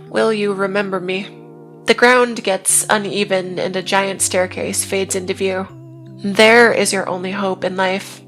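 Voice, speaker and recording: Woman narrating in a flat, even tone. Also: medium pitch. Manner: wry asides between plain retelling. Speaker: video essay host. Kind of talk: scripted video essay narration.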